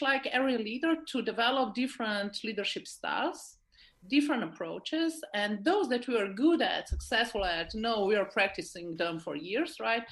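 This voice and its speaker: A woman's voice: fairly high pitch, fairly bright tone. A woman from Slovenia with a Slovenian accent, answering in an informal interview style and often checking for agreement. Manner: informal, often seeks agreement with tag questions. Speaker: interview guest, leadership expert.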